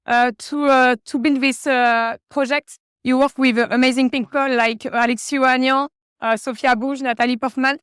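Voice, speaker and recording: A woman with a French accent asking interview questions haltingly, with many filler sounds, at a conference venue. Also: high voice, steady tone, fairly bright tone, smooth, no room sound, studio quality. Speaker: podcast host, interviewer.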